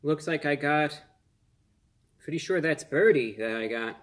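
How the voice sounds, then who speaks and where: A man in his twenties hosting a casual food review on camera, speaking in an earnest, measured way with slightly formal phrasing. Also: on the high side. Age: 20s. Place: small indoor room.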